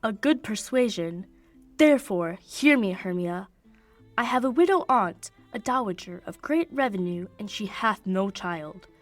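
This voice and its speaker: A woman's voice: medium pitch, expressive, a little slow, soft background music, close to an everyday voice. A young woman playing an ardent young nobleman in love in a radio play of Shakespeare, speaking poetic verse. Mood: eager, conspiring. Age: young adult. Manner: declamatory, poetic verse delivery.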